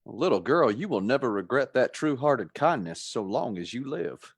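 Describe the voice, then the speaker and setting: A man's voice: mid-range voice, big pitch swings, moderate pace, vocal fry, husky, full character voice. A man playing a brave, plain-spoken, impatient man of action in a radio play, with a blunt colloquial manner. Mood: tender.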